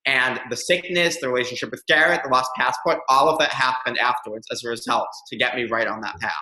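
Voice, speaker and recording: Interview guest: young man, earnest and reflective, crisp bright voice, roomy echo. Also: medium pitch, steady tone, quick pace. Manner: earnest, reflective, many ums. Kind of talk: candid personal storytelling.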